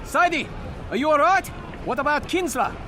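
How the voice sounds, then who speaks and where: A man playing a worried protector, calling out loudly and urgently in a dramatic video game audio drama. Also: extremely high pitch, animated, moderate pace, slight background noise, exaggerated voice. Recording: loud music behind the voice.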